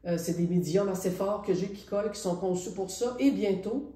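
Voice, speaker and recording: A middle-aged woman with a Quebec French accent talks casually and reflectively about her painting, hesitating and pausing often. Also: mid-range voice, mellow. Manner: reflective, hesitant, with many pauses. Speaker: painter presenting her artwork. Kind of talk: casual spoken art presentation. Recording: roomy echo.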